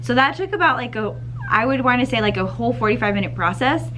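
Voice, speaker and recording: A second grade teacher with a slightly scratchy voice, chatting upbeat to camera in a school classroom, clearing her throat now and then. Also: on the high side, animated, quick pace, fairly bright tone. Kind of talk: casual vlog chat to camera.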